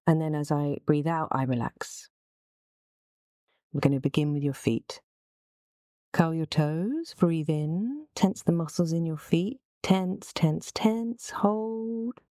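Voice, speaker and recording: Low, mellow woman guiding relaxation. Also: no room sound, studio quality. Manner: gentle, soothing, unhurried instruction. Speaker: guided relaxation instructor. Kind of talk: guided relaxation meditation.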